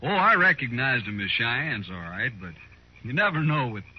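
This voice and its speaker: A man's voice: medium pitch, big pitch swings, moderate pace, breathy edge. A man playing a blustering, nervous frontier crook in a 1950s radio western, with a folksy, pushy way of talking. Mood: wheedling, indignant. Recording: muffled, noisy old radio recording.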